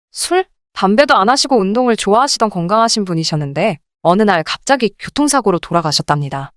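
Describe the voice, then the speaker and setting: A woman's voice: mid-range voice, dry recording, studio quality. A woman narrating a scripted first-person story in a polite, confiding storytelling manner. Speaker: narrator of a radio-drama story channel.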